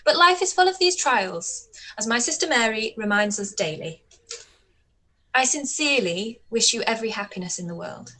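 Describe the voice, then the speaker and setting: Woman acting a lively, witty young gentlewoman. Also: a little high, moderate pace. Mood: wry, gracious. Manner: arch, composed. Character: witty, spirited young gentlewoman, arch but polite. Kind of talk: radio play live read.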